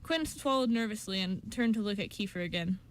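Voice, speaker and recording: A woman's voice: medium pitch, lively intonation, brisk. A woman reading scripted story narration for an amateur radio show, with an occasional stumble.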